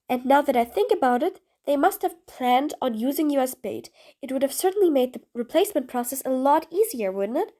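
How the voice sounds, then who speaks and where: A woman's voice: high-pitched, darkish tone. A woman playing a sly, impatient supervillain in a scripted audio roleplay, speaking in a theatrical, mocking way with formal, wordy phrasing. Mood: suspicious, probing.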